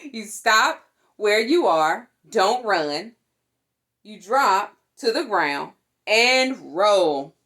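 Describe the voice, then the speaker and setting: A woman reading a children's picture book aloud in an expressive, deliberate way, with playful exclamations. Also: medium pitch. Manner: deliberate, animated, with playful sound words and exclamations. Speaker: storytime reader for a fire department.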